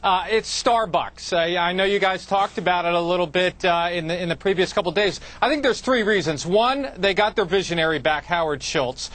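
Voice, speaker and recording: High-voiced man making his stock case. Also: brisk, hardly any pauses, fairly bright tone. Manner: earnest, listing points. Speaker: market panelist. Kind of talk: TV panel commentary.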